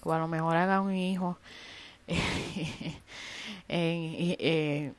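A woman's voice: low voice, steady tone, extremely slow. A woman from Puerto Rico with a Puerto Rican accent, hosting a casual solo podcast, rambling with many fillers and some cursing. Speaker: podcast host.

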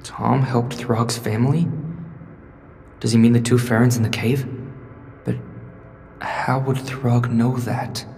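A man's voice: mid-range voice, little pitch movement, slow pace, long pauses, darkish tone, big-room sound, a little hiss or hum. A man playing an anxious, curious teenage boy in an audio drama, hesitant and questioning, often as inner monologue. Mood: puzzled.

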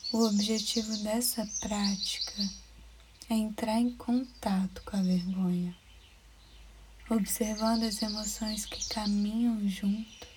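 A Brazilian woman guiding a meditation in a soothing, soft-spoken way, with breathy phrase endings. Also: medium pitch, steady tone, slow pace. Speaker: meditation guide. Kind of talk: guided meditation narration. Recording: clear background noise with birdsong. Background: birds chirping, outdoor-like ambience.

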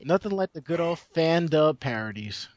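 A woman in her twenties hosting a casual chat, laid-back and quick with wisecracks. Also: low voice, expressive, a little mellow, no echo. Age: 20s. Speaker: host.

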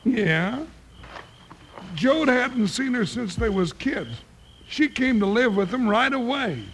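Goofy american cowboy sidekick, high creaky drawl, hissy old radio show. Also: male voice, animated, fairly bright tone, full character voice. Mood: pleased, eager. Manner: folksy, drawn-out comic drawl. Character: high-voiced, folksy, bumbling comic cowboy sidekick. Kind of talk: old-time radio western acting.

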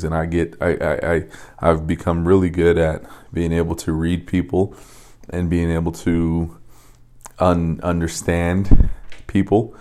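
A young man from Las Vegas hosting a podcast, telling stories in a loose, rambling way with repeated words and soft laughs. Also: sits low. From Las Vegas, USA. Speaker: podcast host and coach. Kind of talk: casual storytelling monologue.

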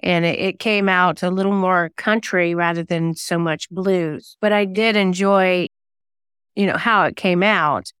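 Clear-voiced woman chatting in an interview. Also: on the low side, steady tone, measured pace, a bit bright, no room sound, studio quality. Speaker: guest singer-songwriter and novelist. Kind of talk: conversational interview answers.

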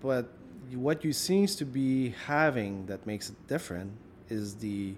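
A man's voice: medium pitch, a little slow. A male interviewer with a mid-range voice talks in loose, winding sentences. He fills his questions with "like" as he works out what he means. Faint background noise sits under him. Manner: rambling, thinks aloud with many "like"s. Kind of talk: casual conversational interview.

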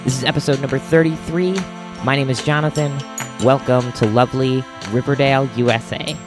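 A man's voice: mid-range voice, flat delivery, brisk. A man introducing a podcast as its host, in a casual, partly scripted style. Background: music.

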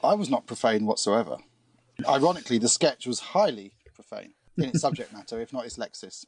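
A man's voice: medium pitch, animated, brisk, a little mellow, husky. Wry laughing man in banter. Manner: dry, wry and often laughing. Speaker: comedy podcast performer. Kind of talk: casual banter.

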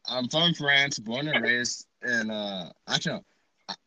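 A man's voice: medium pitch, measured pace, pushed and bright, no room sound, poor audio. A young man from Texas hosting a casual podcast chat, playful and joking, laughing often. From Dallas, Texas. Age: young adult. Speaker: podcast host.

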